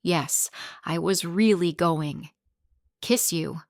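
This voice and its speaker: A woman's voice: on the low side, slow, no echo, studio quality. A woman reading the lines of a young husband who argues back firmly and defensively. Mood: exasperated. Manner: firm, yelling back.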